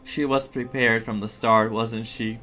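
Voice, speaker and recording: A man giving casual, reflective playthrough commentary as a streamer, haltingly and repeating his phrases. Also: mid-range voice, little pitch movement, sharp tone. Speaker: gaming streamer. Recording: game music behind the voice.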